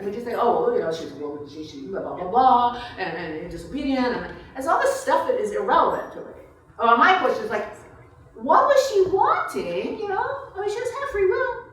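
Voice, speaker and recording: Heard from a distance in a very echoey room, a woman speaks in a highish voice that swings widely. She is an author taking questions, informal and digressive, thinking aloud as she goes. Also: a little fast. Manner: animated, informal thinking aloud in broken phrases. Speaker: author answering audience questions. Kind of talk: casual, digressive question-and-answer talk at a book reception. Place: reception room before a small audience. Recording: echoey room, picked up from far off.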